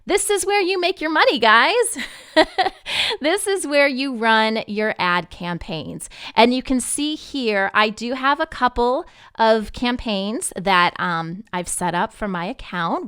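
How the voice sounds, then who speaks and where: A woman giving a conversational, unscripted software walkthrough, explaining things directly to the listener and checking in as she goes. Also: fairly high pitch, clear, resonant tone, no echo, clean studio sound. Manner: explanatory, addresses listeners directly with check-in tags like "okay" and "right". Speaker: podcast network manager presenting a tutorial.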